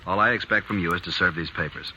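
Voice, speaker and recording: An actor playing a greedy, cold banker in a 1950s radio drama, speaking curtly and dismissively. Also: male voice, deep voice, fast, sharp tone, poor audio. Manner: curt, haughty, dismissive. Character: cold greedy middle-aged male banker. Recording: hissy old 1950s radio broadcast.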